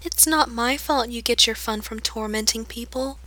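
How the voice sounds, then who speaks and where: A woman reading aloud, voicing a solemn, sad girl who speaks low, calm and gentle. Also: normal speed, close to an everyday voice. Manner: calm, soft, melancholy.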